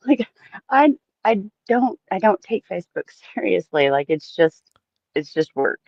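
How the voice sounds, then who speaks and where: A woman's voice: mid-range voice, sing-song, takes it slowly, creaky, no room sound, lo-fi sound. A woman chatting casually as a livestream co-host, giggly and prone to repeating her phrases.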